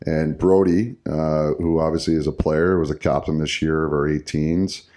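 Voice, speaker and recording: A man with a very deep, mellow voice chats on a podcast. He rambles, restarting sentences and filling pauses as he goes. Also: steady tone. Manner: rambling, many fillers and restarts. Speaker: podcast co-host, hockey coach. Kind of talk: casual podcast chat.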